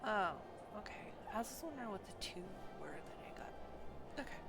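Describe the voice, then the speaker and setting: A woman's voice: mid-range voice, very animated, brisk, vocal fry, over loud music. A woman giving casual live commentary while streaming a video game. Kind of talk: casual live gameplay commentary, reading game dialogue aloud. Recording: noticeable background noise.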